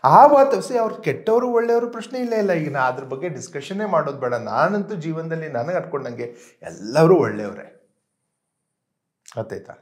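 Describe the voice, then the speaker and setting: A man's voice: fairly high pitch, lively intonation, normal speed. A man giving an emphatic, conversational motivational talk, mixing in English phrases and often checking in with listeners. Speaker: motivational trainer.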